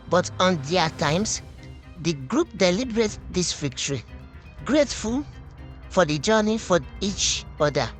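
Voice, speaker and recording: Female storyteller, lowish voice with animated pitch, slightly halting read of a scripted tale over music. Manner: halting reading with stumbles and repeated words. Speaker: storyteller, narrator.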